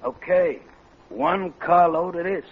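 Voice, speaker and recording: Gruff tough racketeer, kinda high voice, 1940s radio comedy, dull old recording. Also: male voice, steady tone, slow, background noise, full character voice. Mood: impatient, curt. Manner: gruff, slangy, intimidating.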